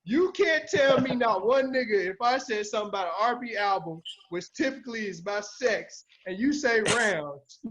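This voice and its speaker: Male panelist with a notably high voice, exclamatory, sweary review banter, dull lo-fi podcast sound. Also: animated, normal speed, fairly bright tone. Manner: blunt, heavily profane, slangy, exclamatory. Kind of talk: casual album review banter.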